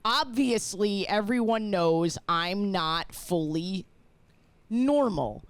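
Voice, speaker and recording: A woman's voice: mid-range voice, animated, takes it slowly, dry recording. A woman in her thirties co-hosting a podcast with casual comedic banter and playful, mock-serious teasing. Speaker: podcast co-host.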